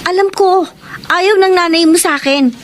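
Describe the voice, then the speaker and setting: A woman's voice: very high-pitched, moderate pace, a little hiss or hum. A woman playing a stubborn, defensive young girlfriend in a Filipino radio melodrama, with an emotional, emphatic delivery and a Filipino accent. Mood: indignant.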